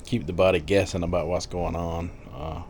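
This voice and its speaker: Chatty man in his fifties, low voice. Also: flat delivery, moderate pace, slight background noise. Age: 50s. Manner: chatty, rambling, with frequent fillers and soft laughs. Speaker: vlogger. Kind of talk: casual diet vlog.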